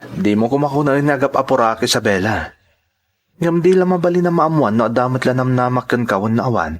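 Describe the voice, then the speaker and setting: A man's voice: medium pitch, expressive, brisk, close to an everyday voice. Ramon, earnest Filipino suitor played by a man. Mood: earnest, coaxing. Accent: Filipino, Ilocano. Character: Ramon, earnest male suitor in a romance drama. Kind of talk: audio drama acting.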